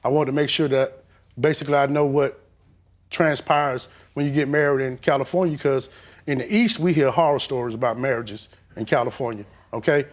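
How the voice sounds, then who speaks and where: A man testifying on a court show, hesitant and defensive, filling pauses with "um". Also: mid-range voice.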